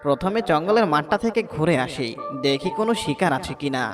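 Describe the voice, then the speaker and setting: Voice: medium pitch, animated, a little fast, natural voice. Jackal, kids' cartoon voice actor: crafty thief, hungry and scheming, mid register over a music bed. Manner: scheming, self-talking. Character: sly, hungry, thieving jackal. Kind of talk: Bengali children's cartoon voice acting. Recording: background music.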